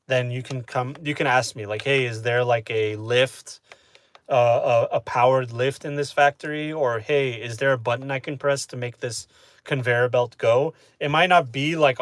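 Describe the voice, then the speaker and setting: A man chatting casually with his live-stream audience, relaxed and talkative, often asking "you know what I mean?". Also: medium pitch. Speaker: streamer answering chat.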